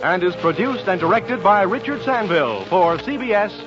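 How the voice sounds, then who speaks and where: A man's voice: high-pitched, big pitch swings, moderate pace. A man with an American accent reading a scripted 1940s network radio announcement. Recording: dull lo-fi 1940s radio, faint music.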